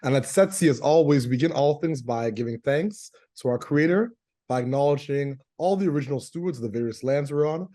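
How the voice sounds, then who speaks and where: A man's voice: medium pitch, fairly quick, dark tone. A man hosting an interview, gracious and ceremonial in his welcome and questions. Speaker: interview host.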